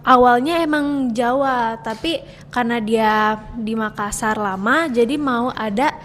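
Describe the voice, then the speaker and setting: A woman's voice: fairly high pitch, a little slow, a bit bright. A woman giving a casual interview as a guest actress, explaining things informally with small asides. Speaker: actress interviewed as film cast member. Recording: reverberant room, faint background noise.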